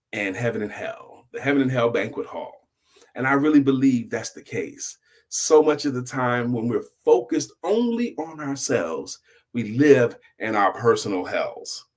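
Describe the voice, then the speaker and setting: Middle-aged male storyteller, husky, widely swinging pitch, emphatic delivery with dramatic pauses. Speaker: storyteller host. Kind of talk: dramatic, deliberate oral storytelling with a moral.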